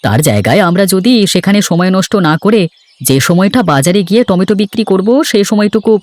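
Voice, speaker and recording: A man's voice: high voice, animated, fast, no room sound. A man voicing an easygoing, hardworking farmer and husband in a cartoon folk tale. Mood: content, reasonable. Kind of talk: Bengali cartoon fairy-tale dialogue.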